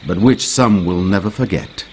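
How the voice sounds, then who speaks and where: An actor playing a dignified older nobleman and protective father in a game, speaking in a courtly, measured, authoritative way. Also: deep voice, slight background noise, close to an everyday voice. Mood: proud, pointed. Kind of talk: video game cutscene acting. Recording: loud music behind the voice.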